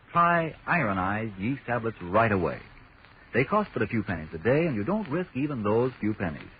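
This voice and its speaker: An American man announcing on 1940s radio with an emphatic, salesmanlike delivery. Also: mid-range voice. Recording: dull, noisy 1940s radio recording.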